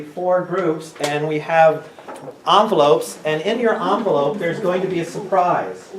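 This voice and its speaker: A middle-aged man presenting to a class, reading and explaining in a large room. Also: a little high. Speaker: workshop presenter. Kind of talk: teaching talk and scripted reading to a class. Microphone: camera a few meters away. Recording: echoey room, far from mic, noisy.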